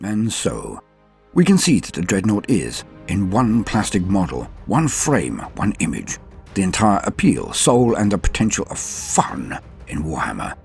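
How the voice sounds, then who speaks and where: A man's voice: deep voice, wildly swooping pitch, unhurried, vocal fry, a little mellow, faint music behind. A man hosting a lore show, delivering scripted narration in a theatrical, formal and florid manner. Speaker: host of a Warhammer lore show.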